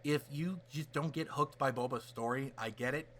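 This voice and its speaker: A man co-hosting a casual pop-culture podcast, talking in an excitable, hyperbolic fan style with plenty of swearing. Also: mid-range voice, normal speed, slight background noise. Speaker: podcast co-host.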